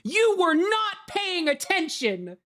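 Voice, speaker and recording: Animated woman in casual commentary. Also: extremely high pitch, slow, no room sound, clean studio sound. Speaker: cast member giving commentary. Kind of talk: casual group commentary chat.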